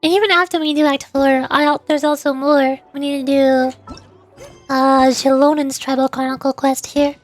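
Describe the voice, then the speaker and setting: A woman's voice: high-pitched, little pitch movement, moderate pace, soft background music. A woman livestreamer chatting casually over a game in a playful, theatrical way. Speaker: vtuber streamer.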